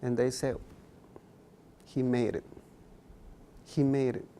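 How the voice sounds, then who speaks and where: Gravelly-voiced man, earnest. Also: medium pitch, level tone, takes it slowly, warm tone, slight background noise. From Guatemala. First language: K'iche' Mayan. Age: young adult. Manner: passionate, repeats words for emphasis. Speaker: guest. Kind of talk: earnest conversational interview.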